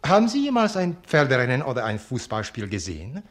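A learned, courteous zoo director in a radio drama. His medium-pitched voice swings widely as he speaks, formal and a touch hesitant. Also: huge pitch swings, quick pace. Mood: courteous, intrigued.

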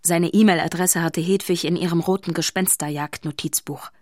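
A woman's voice: fairly low pitch, steady tone, normal speed, darkish tone, dry recording, studio quality. A woman narrator reading scripted narration for a children's radio play. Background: music.

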